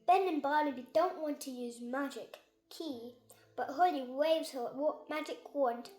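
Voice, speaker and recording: A woman's voice: high voice, a little slow, warm tone, soft background music. A young girl, about six, reading a children's story aloud with careful, sometimes stumbling delivery. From Kerala, India. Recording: echoey room.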